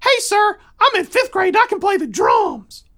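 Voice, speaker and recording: A man's voice: very high voice, very animated, unhurried, sharp tone, pitched above the performer's own voice, heavily acted. A man voicing an eager, chatty ten-year-old American boy in a comic story, wide-eyed and emphatic. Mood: chatty, earnest.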